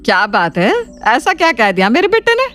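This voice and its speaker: A woman's voice: fairly high pitch, huge pitch swings, fairly quick, a bit bright, soft background music. A woman playing an assertive middle-aged mother in a cartoon family drama, firm and persuasive. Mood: persuasive, brazen.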